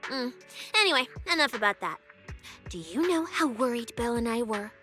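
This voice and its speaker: A woman playing a playful, bossy, easily flustered young police specialist in an anime-style video game, with an expressive, teasing, mock-official delivery. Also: a little high, normal speed, soft background music. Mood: scolding, worried.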